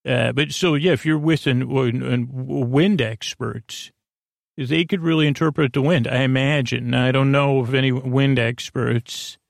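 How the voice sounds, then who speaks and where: A man with a mid-pitched, gravelly creak talks in a dry, echo-free recording. He rambles as a bedtime podcast host would, hesitating, drifting off course and circling back to where he was. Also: steady tone, unhurried. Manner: meandering, hesitant, keeps losing his thread and doubling back.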